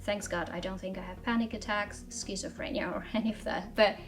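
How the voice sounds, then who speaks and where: A woman in her thirties from Armenia, telling her life story in a measured, reflective way in an interview, with frequent fillers and restarts. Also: medium pitch, steady tone, faint music behind. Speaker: interviewee, strategy director. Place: office.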